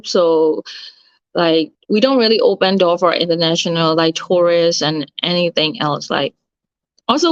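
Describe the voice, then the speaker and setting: A woman's voice: fairly low pitch, no echo. A woman, chatting as an interview guest and searching for words with many fillers. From Myanmar. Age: 30s. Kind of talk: casual interview chat. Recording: dull, slightly lo-fi sound.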